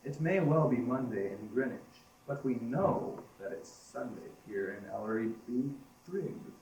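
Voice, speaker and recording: Stubborn villager, acted by a teenage boy, middling pitch, roomy and off-mic. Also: animated, unhurried, darkish tone. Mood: firm. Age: young teenager. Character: stubborn male English villager. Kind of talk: amateur school radio play. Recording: echoey, far from microphone.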